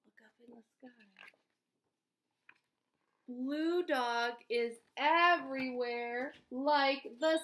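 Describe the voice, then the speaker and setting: A woman in her thirties or forties reading a picture book aloud to young children, sing-song and expressive, often asking questions. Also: high voice. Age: 30s or 40s. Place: small indoor room at home.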